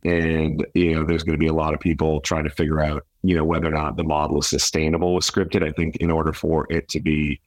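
A man's voice: a bass voice, flat delivery, brisk, few pauses, dry recording, clean studio sound. A man speaking in an interview as a company founder and chief executive. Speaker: media company founder and CEO, interview guest. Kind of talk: interview talk.

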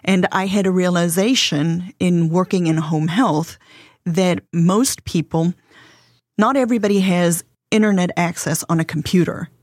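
A woman with a light South African accent explains her work in an earnest, measured way. Her voice sits fairly low for a woman. The recording is dry and close. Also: a little mellow, no room sound. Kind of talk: interview answers.

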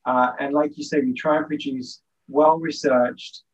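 A man's voice: medium pitch, measured pace, distant mic, poor audio. A man, a nonprofit director explaining his organization as a podcast guest. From United Kingdom. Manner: articulate, steady, with filler "uh". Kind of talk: interview answer.

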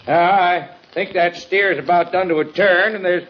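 An actor playing a crafty, back-slapping small-town politician giving a folksy, declamatory campaign speech in an old-time radio Western. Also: male voice, sits high, steady tone, normal speed, lightly acted. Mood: hearty, folksy. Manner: folksy, glad-handing, declamatory. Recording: muffled old radio recording, crowd, noise.

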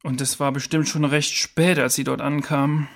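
A man's voice: a little high, level tone, moderate pace, creaky. A man playing a serious, skeptical boy in a children's audio drama, questioning and purposeful. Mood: concerned.

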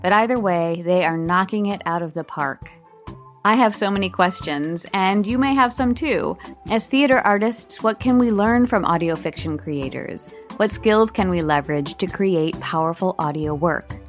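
A woman hosting a podcast, reading a scripted introduction and interviewing a guest. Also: mid-range voice.

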